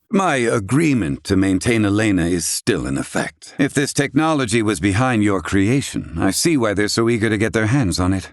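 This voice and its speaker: A man's voice: lowish voice, no room sound, studio quality, natural voice. A man playing an erudite, haughty yet curious scholar in a video game, speaking in a formal, eloquent way with archaic wording. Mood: measured, analytical.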